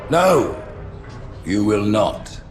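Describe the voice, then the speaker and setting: Lowish, stern alien prophet. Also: male voice, big pitch swings, exaggerated voice. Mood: stern judgement. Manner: pompous, deliberate, oratorical. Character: calm, stern alien high prophet passing judgement. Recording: music behind the voice.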